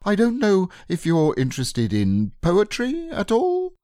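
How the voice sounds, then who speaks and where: A man reading aloud and voicing a simple, gentle male teddy bear who muses slowly and hesitantly. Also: high voice, wildly swooping pitch, dry recording, clean studio sound, voice pushed up, full character voice. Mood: musing. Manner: slow, musing, hesitant.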